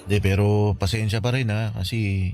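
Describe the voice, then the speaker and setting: A man plays a humble young man weighed down by guilt, confiding in a friend. His voice is fairly low and halting, in natural radio drama dialogue with soft music behind. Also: steady tone, lightly acted. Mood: hesitant, regretful. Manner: hesitant, halting. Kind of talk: radio drama acting, conversational.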